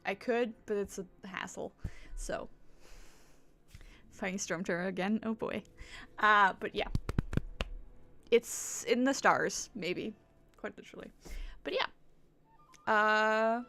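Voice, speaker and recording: A woman's voice: mid-range voice, expressive, measured pace, vocal fry, pauses often, sharp tone, faint music behind. A woman streaming games, chatting casually in a rambling, giggly way that circles back on itself. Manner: rambling, giggly, repeats herself. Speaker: video game streamer. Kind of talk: casual livestream chat.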